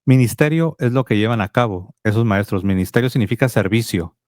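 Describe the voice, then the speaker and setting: Low-voiced Mexican man explaining. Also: brisk, no echo, studio quality. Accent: Mexican Spanish. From Tijuana, Mexico. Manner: explanatory, steady teaching tone. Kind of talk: apologetics call-in talk.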